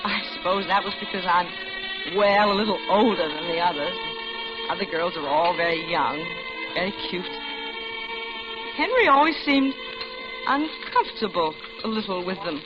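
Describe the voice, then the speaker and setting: An American actress playing a lonely middle-aged widow, narrating confidingly and genteelly in an old-time radio suspense drama. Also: female voice, lowish voice, lively intonation, moderate pace, natural voice. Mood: wistful, confiding. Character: lonely older widowed office worker recounting her doom. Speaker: narrator and lead character. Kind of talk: 1940s-50s American radio suspense acting. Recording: dull old radio recording, loud music behind.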